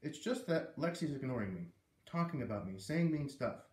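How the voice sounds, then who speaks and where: A man's voice: mid-range voice, expressive, normal speed, darkish tone, lightly acted. A man voicing a hurt, defensive young schoolgirl in a storybook read-aloud, plaintive and blurting as she confides. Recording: roomy echo, distant microphone.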